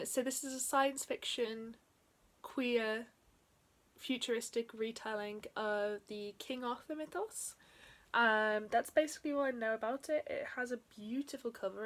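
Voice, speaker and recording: A young woman in her twenties, slightly croaky after being ill, chatting casually about books from a small bedroom, with frequent ums and soft trailing endings. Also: fairly high pitch. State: slightly croaky from a chest infection. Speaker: book vlogger. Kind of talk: casual book haul chat.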